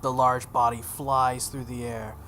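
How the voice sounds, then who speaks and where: A woman's voice: very deep voice, flat delivery, unhurried, fairly bright tone. A woman hosting a video game playthrough, reading a visual novel aloud expressively with offhand casual asides. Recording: some background noise.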